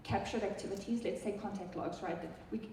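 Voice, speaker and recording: A woman's voice: mid-range voice, fairly quick, mellow, distant mic, a little hiss or hum. South African woman presenting in an echoey hall. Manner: chatty, many ums and restarts, addresses the audience directly. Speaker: software product presenter. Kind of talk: live conference product talk.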